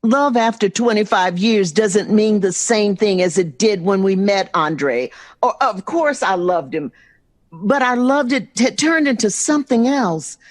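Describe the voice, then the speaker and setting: A middle-aged American mother in an audio drama, wounded but loving. She speaks slowly and emphatically, with a very lively, emotionally open intonation. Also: medium pitch. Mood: earnest, firm.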